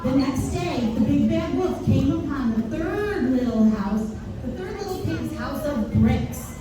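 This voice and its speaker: A woman's voice: high-pitched, lively intonation, a little slow. A woman narrating a fairy tale live for a children's puppet show. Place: indoor preschool room, live show. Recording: distant, echoey, noisy room with audience. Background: audience chatter and room noise.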